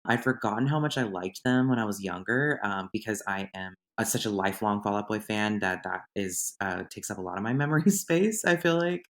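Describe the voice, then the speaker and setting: A slightly hoarse young man hosting his podcast in a chatty, rambling solo monologue. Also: fairly low pitch, a little fast, hardly any pauses, fairly bright tone. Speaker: podcast host. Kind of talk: casual solo podcast chat.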